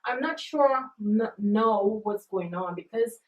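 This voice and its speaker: A woman's voice: medium pitch, lively intonation, takes it slowly, dull audio. A woman from Guyana, a guest explaining things earnestly in casual chat. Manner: earnest, explanatory, many fillers. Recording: roomy echo, distant mic.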